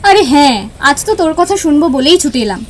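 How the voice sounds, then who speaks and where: A high-voiced, emotional young woman. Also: expressive, a little fast. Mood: breathless, excited. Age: young adult. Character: chatty, lovestruck young woman, lively and tender. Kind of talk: audio drama acting. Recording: music under the voice.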